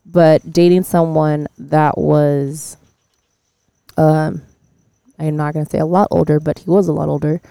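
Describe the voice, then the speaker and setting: Low, gravelly young woman chatting. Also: measured pace, no echo. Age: 20s. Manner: chatty, swearing, animated retellings. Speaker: podcast host. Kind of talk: casual chat.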